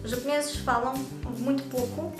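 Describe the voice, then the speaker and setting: A chatty young woman with a European Portuguese accent speaks casually to her camera in a high voice. She is recorded in an echoey room, with music running clearly underneath. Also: fairly even intonation, moderate pace. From Brazil. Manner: chatty and talkative. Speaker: YouTube vlogger.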